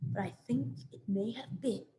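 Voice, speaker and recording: A woman's voice: on the high side, normal speed, warm tone, slight background noise, lightly acted. A woman voicing an alarmed, suspicious owl who makes dramatic announcements in a children's story. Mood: ominous. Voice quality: screechy, emphatic. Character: alarmed, suspicious owl, hooting leader of a crowd.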